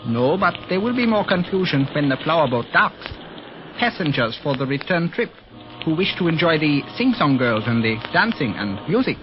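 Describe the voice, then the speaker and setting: Courteous chinese police helper, precise formal wording, swoopy pitch, old 30s radio serial. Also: male voice, sing-song, moderate pace, background noise. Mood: calm, informative. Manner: formal, precise, uncontracted phrasing. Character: polite, helpful Chinese secret police ally. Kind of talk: 1930s radio serial acting. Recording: muffled old radio recording, music behind.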